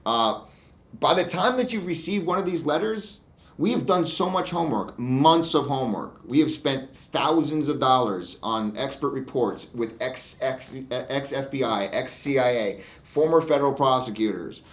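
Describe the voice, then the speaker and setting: American male lawyer-host, mid-pitched, defiant and emphatic, halting informal delivery to camera, roomy echo. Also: lively intonation. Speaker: lawyer hosting his own online segment. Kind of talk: informal monologue to camera, reading out e-mails. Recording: echoey room.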